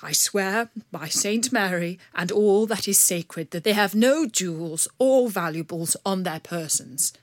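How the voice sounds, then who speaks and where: A woman voicing an anxious older nobleman who pleads to protect his wife and daughter, slow and emphatic. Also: medium pitch, no echo, lightly acted. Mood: pleading.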